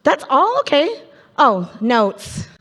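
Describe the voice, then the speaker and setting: A woman's voice: high voice, very animated, takes it slowly, fairly bright tone. Chatty Canadian woman MC in a hall. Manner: chatty, playful, audience-engaging. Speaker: event MC. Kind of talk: live event hosting, crowd interaction. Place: large conference hall. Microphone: handheld stage microphone. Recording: reverberant conference hall.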